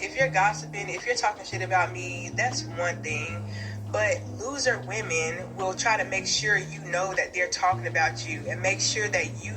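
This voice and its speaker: A woman giving her opinion in a casual monologue, blunt and emphatic, repeating her points. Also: lowish voice. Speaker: video creator.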